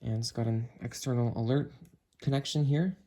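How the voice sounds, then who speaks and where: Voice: mid-range voice, fairly even intonation, moderate pace, dark tone. A person giving a casual, chatty hands-on product review, talking while handling the item. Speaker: host of a gadget review video. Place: indoors, room at home. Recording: noisy home recording, clicks and handling.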